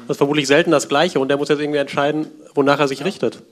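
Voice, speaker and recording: A man's voice: a little high, flat delivery, racing. A man moderating a live podcast, asking a question and listing points. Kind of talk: interview question.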